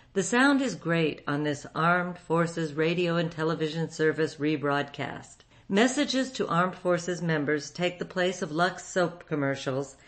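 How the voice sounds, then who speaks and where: A woman reading a scripted introduction as host of a radio program. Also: low-pitched.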